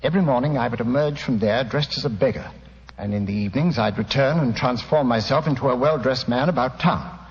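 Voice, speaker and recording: An actor playing an ashamed, well-spoken gentleman making a hesitant, emotional confession in a 1940s radio drama. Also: medium pitch, brisk, lightly acted. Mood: ashamed, confessing. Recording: muffled old radio recording with long reverb.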